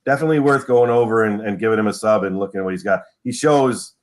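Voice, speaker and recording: A man's voice: on the low side, quick pace. A man in his fifties hosting a casual live stream chat and recommending a guest's channel. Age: 50s. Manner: friendly, promoting others. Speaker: host.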